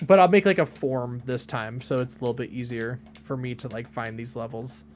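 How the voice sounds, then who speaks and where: A young man in his late teens streaming games and chatting casually, with a deadpan delivery and lots of rambling fillers. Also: medium pitch, a little fast. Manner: deadpan, flat delivery even when joking; rambling, many fillers and restarts. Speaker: game streamer. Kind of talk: casual live-stream chat.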